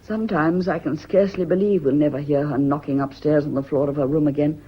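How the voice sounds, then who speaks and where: A woman's voice: low voice, steady tone, fast talker, breathy edge, dull audio. An actress playing a yearning, guilt-ridden woman in secret love, emotional and dreamy, in a radio drama. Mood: yearning relief.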